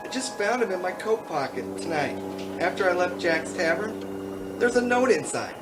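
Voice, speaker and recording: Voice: medium pitch, sing-song, normal speed, reverberant, recorded from a distance, loud music behind the voice, close to an everyday voice. A performer playing a hapless, simple, flustered crook who pleads in a radio comedy. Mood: nervous. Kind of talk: old-time radio comedy acting.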